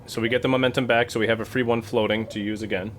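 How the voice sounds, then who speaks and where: Man, rapid speech, casual tabletop player, noisy background. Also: lowish voice, fairly even intonation. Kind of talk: casual game-table chat.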